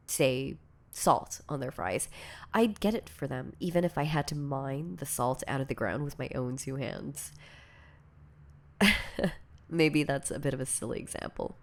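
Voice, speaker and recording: A woman playing a shy, insecure, lovestruck girlfriend in an intimate ASMR audio roleplay, speaking in a hesitant, stammering, soft-spoken way. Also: low voice. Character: shy, insecure, lovestruck yandere girlfriend confessing obsessive devotion.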